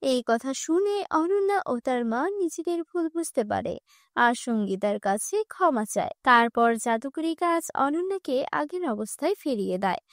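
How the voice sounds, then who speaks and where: A woman narrating a children's cartoon story in a scripted, even storytelling manner. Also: sits high, normal speed, dry recording, clean studio sound.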